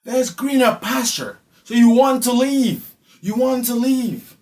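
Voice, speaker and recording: A man's voice: extremely high pitch, takes it slowly, a little mellow. A man from Ghana with a Ghanaian accent giving spoken social commentary, emphatic and repeating phrases for effect. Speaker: online commentator.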